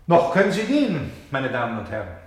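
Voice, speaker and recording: A man acting as an insistent, solemn narrator and director in a German radio play, speaking deliberately with emphatic pauses. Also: mid-range voice, huge pitch swings, echoey room. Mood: grave, challenging. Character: solemn, insistent male stage narrator presenting a grave play. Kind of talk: theatrical German radio play, addressing the audience.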